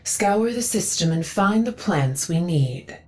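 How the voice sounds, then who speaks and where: An actress playing a reverent, solemn woman devoted to a sacred forest, speaking in a measured, devout way in a video game. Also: fairly low pitch, expressive, darkish tone. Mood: solemn, earnest. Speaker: quest-giver. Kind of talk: dramatic video game voice acting.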